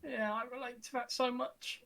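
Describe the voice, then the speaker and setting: A woman's voice: a little high, steady tone, moderate pace, fairly bright tone. A woman in casual, informal chat as a player in a tabletop game.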